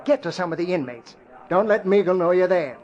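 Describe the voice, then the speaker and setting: A man's voice: high-pitched, expressive, a little fast, crowd noise. An actor playing a brash, suspicious newspaperman, emphatic and slangy, in 1940s radio style. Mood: insistent, suspicious. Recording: dull old radio recording.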